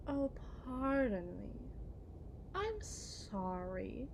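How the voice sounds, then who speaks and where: A woman's voice: fairly high pitch, sing-song, extremely slow, vocal fry, slight background noise, full character voice. A woman playing a genteel, possessive kidnapper wife in a theatrical ASMR roleplay, condescending and syrupy sweet with veiled menace. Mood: mock-polite needling. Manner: mannered, condescending, syrupy endearments, veiled threats.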